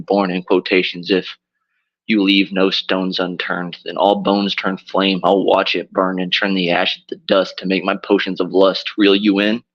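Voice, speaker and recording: A man with a raspy voice, drunk and loopy, delivering a dark rap verse slowly and deliberately. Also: deep voice, highs cut off. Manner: slow, deliberate delivery of dark, intricate rhymes. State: drunk and loopy after dental surgery. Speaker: rapper performing a verse. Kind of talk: slow spoken-word rap.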